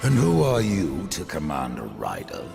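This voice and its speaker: An actor playing a grim horseman of death in a video game, curt, sardonic and threatening, with a gravelly voice. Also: rumbling low, wildly swooping pitch, unhurried, over music, exaggerated voice. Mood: guarded, resolute. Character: Death, grim pale horseman, blunt and menacing. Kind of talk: video game cutscene acting.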